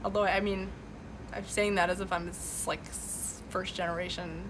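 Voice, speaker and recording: A woman's voice: lowish voice, flat delivery, normal speed, noisy background. A woman whose first language is Farsi, hosting a live stream from her home, talking earnestly about current events and answering chat with many fillers and restarts. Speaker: livestream host. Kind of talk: casual political commentary, reading chat. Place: home room with boxes behind.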